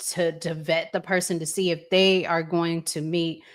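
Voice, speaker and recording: A woman with an American accent talking reflectively as a podcast co-host, deliberately and with pauses between phrases. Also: on the low side, little pitch movement. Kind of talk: reflective conversational discussion.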